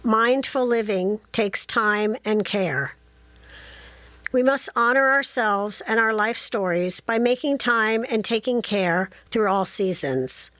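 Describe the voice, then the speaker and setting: A woman hosting community radio, chatting casually and reading passages aloud slowly from a studio microphone. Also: medium pitch, fairly bright tone, no echo.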